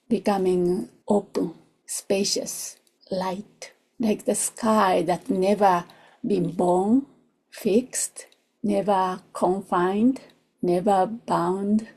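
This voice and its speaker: A woman who is not a native English speaker leads a guided meditation in a soft, gentle voice, in short unhurried phrases that often drop toward a whisper. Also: medium pitch, gravelly. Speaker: meditation teacher. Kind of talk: guided meditation, slow and soothing.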